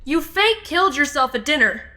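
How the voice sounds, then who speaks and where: A woman playing a brisk, level-headed, matter-of-fact amateur investigator in a comedy audio drama. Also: sits high, very animated, pushed and bright, heavily acted. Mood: demanding.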